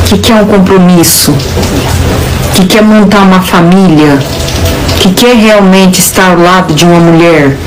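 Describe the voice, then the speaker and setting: A woman's voice: medium pitch, poor audio. A woman in her late thirties talking frankly to viewers about being single, stressing and repeating her points. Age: 30s. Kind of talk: casual chat to camera.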